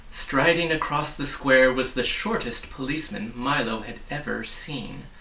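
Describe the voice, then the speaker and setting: Bright-voiced man reading a story aloud. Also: mid-range voice, creaky, a little hiss or hum. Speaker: storybook reader. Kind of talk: read-aloud story narration. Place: small book-lined room indoors. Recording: roomy, distant microphone.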